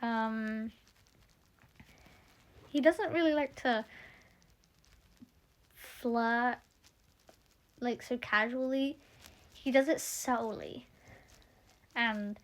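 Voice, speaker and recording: A young woman introducing the cast of her audio drama in casual chat, rambling and a little croaky from being tired. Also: on the high side. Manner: chatty, rambling, self-interrupting.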